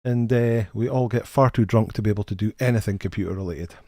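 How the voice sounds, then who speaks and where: A man's voice: on the low side, quick pace, dark tone, no room sound, clean studio sound. A middle-aged man hosting a casual live game stream, greeting viewers in a chatty, friendly way and often repeating the first words of a sentence. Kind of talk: casual live stream chat, reading chat names.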